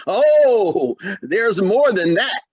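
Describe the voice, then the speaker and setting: A man's voice: high voice, very animated, drawn-out words, a little mellow, dry recording, dull audio, exaggerated voice. A man acting a genial family friend with salesman-like patter in an old-time radio comedy. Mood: salesmanlike.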